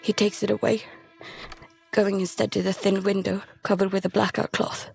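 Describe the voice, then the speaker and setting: Woman acting a frightened daughter, hushed and halting. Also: mid-range voice, flat delivery, gravelly, slightly rough. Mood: anxious, hushed. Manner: hushed, halting, anxious delivery. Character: frightened, guilt-ridden daughter hiding from infection on a boat. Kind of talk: audio drama, first-person present-tense narration and dialogue. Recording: music behind the voice.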